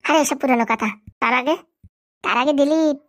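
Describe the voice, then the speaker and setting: A woman as high-pitched, quick cartoon schoolkid. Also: natural voice. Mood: bantering. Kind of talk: comic cartoon dialogue.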